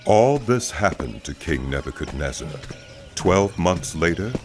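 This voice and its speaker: A man with a very low voice serves as narrator of a dramatized scripture reading. His delivery is measured and solemn, with prominent music behind the voice. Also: sing-song.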